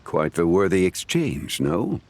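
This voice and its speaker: Deep-voiced scholarly gentleman. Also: big pitch swings. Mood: courteous. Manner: formal, measured, erudite. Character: refined, scholarly, composed gentleman antiques connoisseur. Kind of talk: video game voice acting. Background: soft game music.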